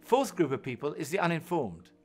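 Older man, earnest teaching talk, lively pitch, music behind. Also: brisk. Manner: earnest storytelling, acts out quoted voices. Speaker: course presenter. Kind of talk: recorded Bible teaching talk. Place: home-like room indoors.

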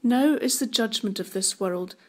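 A woman reads scripture as part of a church service. Her voice sits a little high. The delivery is formal, measured and deliberate. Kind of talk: formal Bible reading.

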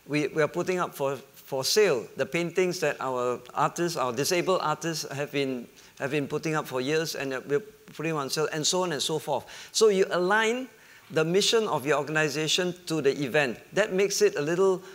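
A middle-aged man moderating a conference panel, explaining ideas in an animated way and fielding questions, on a lapel mic. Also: a little high, moderate pace. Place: conference hall stage.

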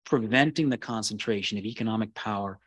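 A man giving a keynote history lecture, reading in a measured way with occasional restarts. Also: fairly low pitch, slightly rough, no echo. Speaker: keynote speaker.

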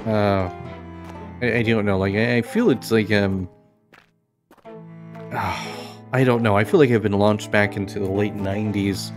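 Low-voiced man musing over a video game. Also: lively intonation, unhurried, pauses often. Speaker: gaming video host. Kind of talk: casual let's play commentary. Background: game music behind the voice.

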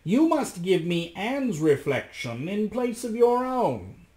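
A man's voice: sits high, big pitch swings, slow pace, warm tone, pitched above the performer's own voice, exaggerated voice. A man voicing a sinister, commanding evil magician in a storybook reading, with an imperious, dramatic manner. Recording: echoey room.

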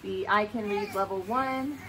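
A woman's voice: mid-range voice, unhurried. Casual woman vlogger with music behind her. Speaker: homeschooling parent and vlog host. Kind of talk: casual family vlog chat, showing items to camera. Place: home room with bookshelf, indoors.

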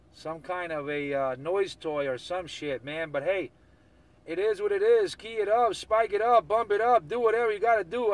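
A man talking casually in CB radio slang, repeating catchphrases as he operates and records. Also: fairly high pitch. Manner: repetitive, chant-like catchphrases.

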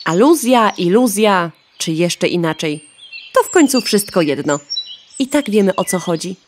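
A cheeky, self-absorbed young hen acted by a woman in a children's radio play. The delivery is nonchalant and flippant, with lively swings of pitch. Faint birdsong and background noise sit behind the voice. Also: moderate pace, lightly acted.

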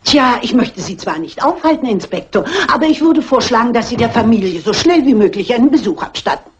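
An actress playing a shrewd, persistent elderly amateur sleuth with polite, pointed manners, in German film dubbing. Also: female voice, fairly high pitch, animated, a little fast, natural voice. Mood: probing, insistent. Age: older. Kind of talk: dubbed classic crime film dialogue. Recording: muffled old film soundtrack.

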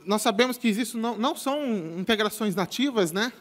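Young Brazilian male presenter, high voice, loose and repetitive delivery, live stage mic. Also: a little fast, fairly bright tone. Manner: casual, repeats "tá?" as a tag, many restarts. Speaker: conference speaker. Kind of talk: technical conference talk. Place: large conference stage with audience. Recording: long hall reverb.

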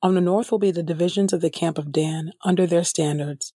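A woman hosting a podcast and reading Bible passages from a script in a measured, formal manner. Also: on the low side, fairly even intonation, warm tone, husky, no room sound, clean studio sound. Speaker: podcast host and scripture reader.

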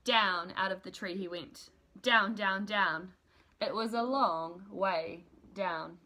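Bright-voiced woman reading a children's story. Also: mid-range voice. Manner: expressive, deliberate, emphatic with repetition. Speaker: teacher reading aloud. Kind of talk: children's storybook read-aloud. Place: small room at home, indoors.

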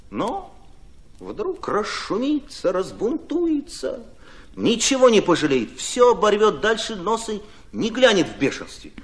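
An actor playing an earnest, ardent Red Army commissar in a radio drama, speaking in deliberate, impassioned phrases. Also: male voice, on the high side.

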